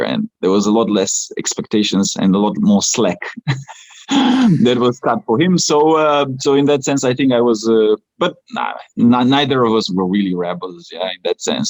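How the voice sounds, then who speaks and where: A man's voice: on the low side, lively intonation, normal speed, a little mellow, dry recording, low-quality recording. A man from Romania whose first language is Romanian, talking as a podcast guest with many fillers and restarts. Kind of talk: casual interview talk.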